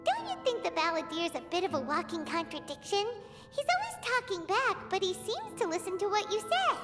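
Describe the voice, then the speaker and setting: A woman voicing a tiny, excitable, childlike fairy companion in a video game, chatty and curious, full of exclamations. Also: very high-pitched, fairly quick. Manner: speaks of self in third person, exclaims. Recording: music behind the voice.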